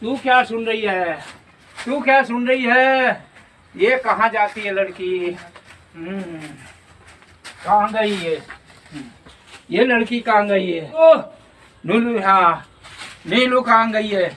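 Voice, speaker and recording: A man's voice: high voice, slow, pauses often, fairly bright tone, a little hiss or hum. A man playing a drunken, abusive father who keeps insisting on money for liquor, recorded a little distant from the mic. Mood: demanding. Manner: insistent, repeating the same demands over and over. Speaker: actor in a home-made family drama.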